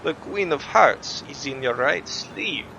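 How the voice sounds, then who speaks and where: Man as a slow, sneering, arrogant villain. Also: on the high side, expressive, gravelly, pushed and bright, highs cut off, a little hiss or hum, exaggerated voice. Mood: smug disdain. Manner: slow, theatrical, mocking menace. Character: menacing, arrogant supernatural male villain, smugly disdainful. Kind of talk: scripted audio drama acting.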